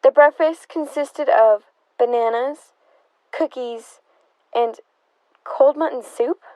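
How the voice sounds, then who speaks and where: A prying, sardonic interrogator from a radio drama, acted by a woman. The voice is high, with wry, pointed questions full of insinuation. The recording is dry and slightly dull. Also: slow pace, no echo. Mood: probing, skeptical. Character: nosy, sardonic, probing interrogator of a murder suspect's sister. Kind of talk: theatrical radio drama acting.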